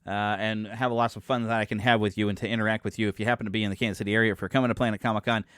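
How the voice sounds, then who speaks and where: A man's voice: lowish voice, level tone, racing, fairly bright tone, clear, resonant tone, no room sound, studio quality. A man in his forties hosting his own podcast in a rambling, chatty way, often correcting himself and making jokes at his own expense. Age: 40s. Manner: self-deprecating and joking. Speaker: podcast host. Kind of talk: casual solo podcast chat.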